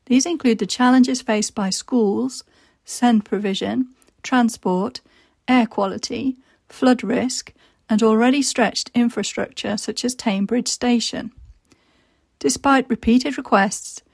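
A woman reading newspaper articles and a reader's letter aloud in a measured, deliberate way, slowing down through lists. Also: fairly high pitch, creaky, a little mellow, no room sound, studio quality.

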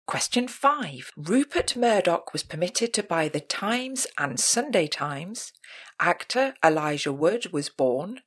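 Slow, lively woman hosting a quiz. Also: on the low side. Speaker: quiz host. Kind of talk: scripted pub quiz narration.

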